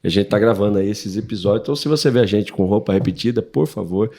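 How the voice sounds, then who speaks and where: A man's voice: fairly low pitch, fast, warm tone. A man hosting a church podcast, bantering playfully and laughing often. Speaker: podcast host. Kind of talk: casual podcast chat.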